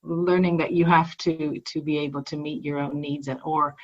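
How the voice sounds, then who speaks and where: A woman's voice: deep voice, flat delivery, moderate pace, lo-fi sound. A woman, a guest psychologist and mindfulness teacher, explaining her work in a reflective, conversational way. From Ireland. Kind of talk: conversational podcast interview.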